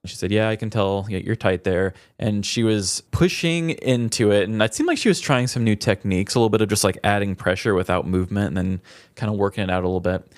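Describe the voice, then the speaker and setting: A man's voice: on the low side, fast talker, no room sound, clean studio sound. A man co-hosting a podcast, telling stories in a casual, comedic and chatty way. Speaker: podcast co-host.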